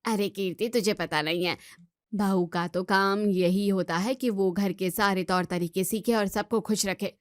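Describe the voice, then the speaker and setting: A woman's voice: mid-range voice, fairly even intonation, measured pace, dry recording, natural voice. Actress as traditional middle-aged mother-in-law, dismissive, grumbling, dry studio-style sound, family drama. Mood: dismissive, defensive. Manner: dismissive, complaining. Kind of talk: Hindi family drama voice acting.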